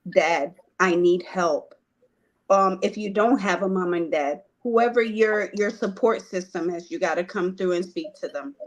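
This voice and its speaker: A woman from Puerto Rico telling her life story in a conversation, earnest and hesitant, with frequent pauses. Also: lowish voice, poor audio. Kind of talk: personal testimony in conversation.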